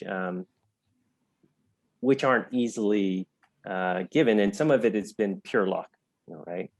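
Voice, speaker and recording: An American man giving thoughtful interview answers in a measured, explanatory way, stressing key words. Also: lowish voice, no room sound. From United States. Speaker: interview guest, health entrepreneur.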